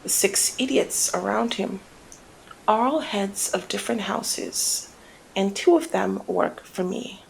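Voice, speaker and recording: A woman playing a flirty, cunning female mob boss in an intimate ASMR roleplay, speaking in a teasing, deliberate murmur that often slips toward a whisper. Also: mid-range voice, a little hiss or hum, low-quality recording. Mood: cool, knowing. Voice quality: breathy creaky murmur, often dropping to whisper. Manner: teasing, deliberate, drawn-out phrasing.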